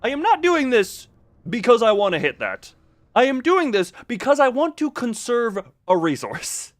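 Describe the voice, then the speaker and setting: A man's voice: very high voice, sing-song, moderate pace, dry recording, clean studio sound. A man doing casual live video game commentary, rambling playfully to his viewers and repeating himself as he exclaims.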